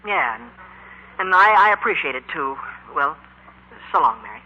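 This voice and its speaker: A young man acting an eager, awkward high school boy in a 1940s radio comedy-drama, stammering and flustered. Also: sing-song, normal speed. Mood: sheepish. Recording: lo-fi 1940s radio, faint hiss and hum.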